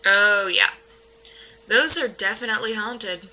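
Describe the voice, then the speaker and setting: Bright, wry woman paranormal investigator. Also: mid-range voice, a little slow, a little hiss or hum, low-quality recording. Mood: joking. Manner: dry, joking, matter-of-fact. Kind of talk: naturalistic audio drama acting.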